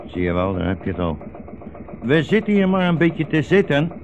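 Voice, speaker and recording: A man's voice: a little high, sing-song, normal speed. A man playing an old blind rabbi, weary and sardonic, with ironic, rhetorical turns, in a 1960s radio drama. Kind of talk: 1960s radio play acting. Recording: muffled, noisy 1960s radio recording.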